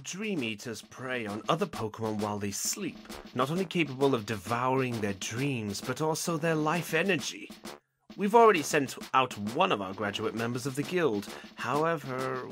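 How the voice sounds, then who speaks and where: A performer playing a brisk, officious bird creature who gives orders in an audio drama, formal and precise. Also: mid-range voice, expressive, over music, natural voice. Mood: grave. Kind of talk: audio drama character acting.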